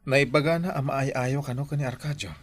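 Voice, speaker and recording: A man's voice: medium pitch, fairly even intonation, fast talker, lightly acted. A man acting as an earnest son talking with his mother in a radio drama. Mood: earnest, concerned.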